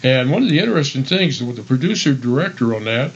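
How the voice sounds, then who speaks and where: A man's voice: medium pitch, animated, quick pace, a little hiss or hum. A man co-hosting a radio show in a casual, conversational style, offering bits of trivia. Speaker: radio co-host. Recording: dull, muffled sound.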